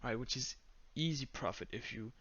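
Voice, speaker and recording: A young man with a Danish accent giving a step-by-step screen-recorded tutorial in a flat, monotone voice full of "uh"s and "um"s. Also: medium pitch, moderate pace, muffled recording. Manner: monotone, many fillers. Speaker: tutorial creator.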